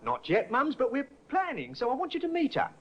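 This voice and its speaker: Shabby young husband, high voice, lively swings in pitch, muffled old broadcast sound. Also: male voice, fairly quick, a little hiss or hum, heavily acted. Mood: coaxing. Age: young adult. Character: shabby young man, nervous son and husband. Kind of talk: 1950s radio drama acting.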